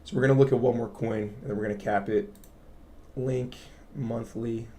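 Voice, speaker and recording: A man with a raspy voice hosting a casual crypto livestream, explaining charts to viewers and often checking in with "right?". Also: medium pitch, steady tone, normal speed, warm tone, a little hiss or hum. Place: home studio. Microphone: studio mic.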